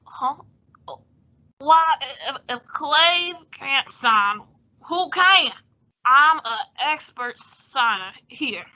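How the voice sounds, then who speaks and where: A slippery, tipsy con-man doctor played by a woman, slow and drawn out. The voice is bright and fairly high, with smug old-time radio comedy delivery on a lo-fi recording. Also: animated, exaggerated voice. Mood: drunk, jovial. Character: shady, boozy male con-man doctor, smugly slippery.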